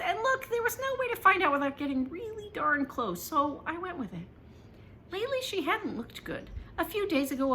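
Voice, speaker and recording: High-voiced woman reading a children's story. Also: a little hiss or hum. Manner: animated, dramatic, emphatic delivery. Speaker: author reading aloud. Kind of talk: expressive first-person children's story read-aloud.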